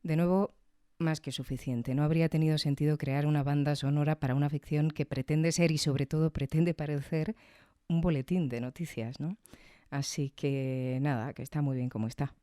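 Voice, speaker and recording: A woman's voice: sits low, fairly even intonation, moderate pace, dark tone, no room sound, clean studio sound. A woman hosting a podcast, commenting conversationally and reflectively, often adding little tag questions. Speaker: podcast host and reviewer.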